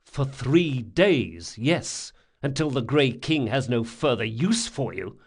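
A man's voice: medium pitch, lively intonation, slow. A man voicing a sardonic, menacing male rogue in an audiobook, speaking with emphatic sarcasm. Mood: bitter sarcasm.

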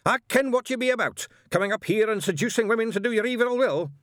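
A man's voice: sits high, fairly quick, fairly bright tone, no echo, studio quality, voice pushed up. A man voicing a fanatical, angry old witchfinder, snarling and declaiming in a storybook reading. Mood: furious accusing.

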